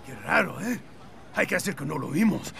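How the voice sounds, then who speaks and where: An actor voicing a baffled, jumpy local bystander in a video game, incredulous and excitable. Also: male voice, on the high side, fast talker, creaky, very noisy. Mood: baffled, nervous.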